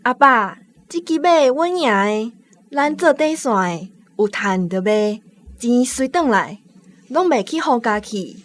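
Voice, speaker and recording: An actress with a Taiwanese accent playing an eager grown daughter in a radio drama. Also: female voice, fairly high pitch, lively intonation, a little slow. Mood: eager, coaxing. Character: eager grown daughter pushing her father about money.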